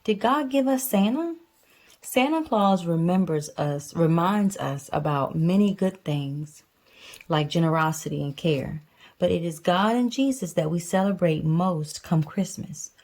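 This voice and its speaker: Mellow-voiced mother reading aloud. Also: on the low side, unhurried. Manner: patient, explains simply. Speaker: mother reading to her child. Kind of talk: picture book read aloud with casual chat.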